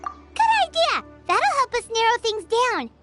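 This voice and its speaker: A tiny chirpy game companion, very high and bouncy, music under it. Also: female voice, very animated, exaggerated voice. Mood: excited. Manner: bubbly, exclamatory, quick to jump to conclusions. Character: small floating female companion creature, chirpy and excitable. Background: game background music.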